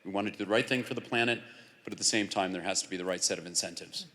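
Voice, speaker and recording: A man's voice: deep voice, rapid-fire, pushed and bright. A man speaking as a conference panelist, direct and persuasive. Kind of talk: panel discussion.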